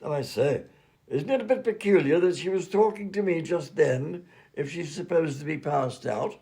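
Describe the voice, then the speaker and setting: A man's voice: fairly high pitch, normal speed, dark tone, close to an everyday voice. A man playing an absent-minded, fussy and polite mad doctor and inventor in a comedy radio play. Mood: breezy, fussy.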